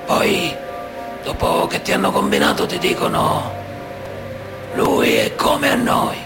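An actor playing a proud, ominous man of honour in a solemn, deliberate radio drama monologue. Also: high voice, wildly swooping pitch, vocal fry, heavily acted. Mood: proud, menacing. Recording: music behind the voice.

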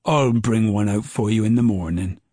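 A man voicing a nervous, excitable old grandmother in a storybook, with high fluttery exclamations. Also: normal speed, no echo, clean studio sound, lightly acted.

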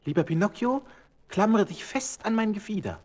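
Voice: medium pitch, expressive, moderate pace, darkish tone, lightly acted. An actor playing a noble, kindly falcon, declaiming solemnly in a children's radio play. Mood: solemn, caring.

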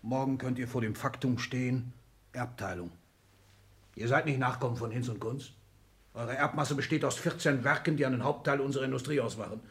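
A man's voice: medium pitch, fairly even intonation, fairly quick, a bit bright, natural voice. A man acting in a 1960s German radio play as an ailing, domineering old industrialist, speaking in clipped, imperious declamation with sudden outbursts. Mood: stern reproach. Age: older. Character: ailing, domineering old industrialist patriarch lecturing his children.

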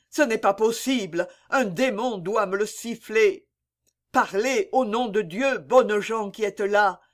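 A man's voice: sits high, a bit bright. A man reading the part of a grieving young basket weaver, in an impassioned, pleading way. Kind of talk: dramatic poem reading.

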